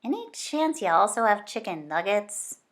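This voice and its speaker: A woman's voice: mid-range voice, sing-song, moderate pace, lightly acted. A woman voicing a skeptical, blunt young boy in a children's storybook. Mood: doubtful.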